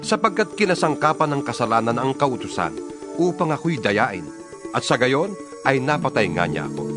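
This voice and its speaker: A man reads scripture as a dramatized narration, solemn and emphatic in an oratorical way. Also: medium pitch. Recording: music behind the voice. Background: background music.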